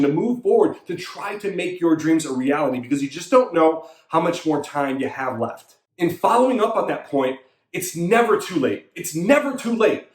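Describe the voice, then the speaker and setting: High-voiced man giving a fitness pep talk. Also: reverberant. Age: 30s. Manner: emphatic, repetitive coaching pep talk. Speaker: fitness coach and show host. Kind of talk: motivational monologue.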